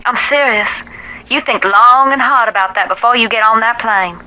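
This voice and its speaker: A woman's voice: a little high, normal speed, pushed and bright, rough recording. An actress playing a grave, superstitious woman in a video game, earnest, folksy and cautioning, heard through a telephone filter. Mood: grave, urgent. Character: grave, superstitious woman urgently asking for help.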